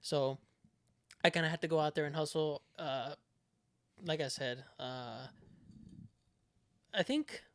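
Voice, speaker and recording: A man's voice: a little high, slow, long pauses, a bit bright. A young man born in Mexico, co-hosting a podcast in loose, rambling casual chat, laughing often and swearing freely. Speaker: podcast co-host.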